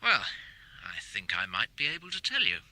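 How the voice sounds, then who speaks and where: A British actor playing a cagey private investigator on the telephone in a radio drama, halting and discreet. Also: male voice, medium pitch, wildly swooping pitch, sharp tone, no echo, heavily acted, rough recording. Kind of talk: naturalistic radio drama acting.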